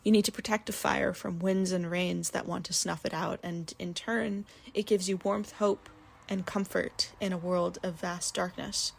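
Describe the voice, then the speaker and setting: A woman with a husky, creaky voice, earnestly reading a prepared analytical commentary in measured, articulate phrasing. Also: fairly low pitch, steady tone, slight background noise. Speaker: listener sending a recorded commentary. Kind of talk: prepared spoken essay, literary analysis.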